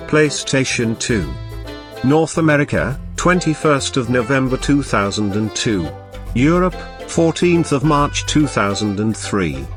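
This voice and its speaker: A young man giving a casual game review voice-over, chatty and enthusiastic with joking asides. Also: mid-range voice. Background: game music playing.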